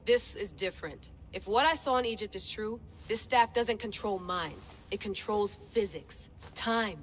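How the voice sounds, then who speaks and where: A woman's voice: mid-range voice, unhurried, close to an everyday voice. Confident young woman game heroine voiced by an actress. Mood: confident, intent. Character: driven, confident young woman researcher-assassin. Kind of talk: video game cutscene acting.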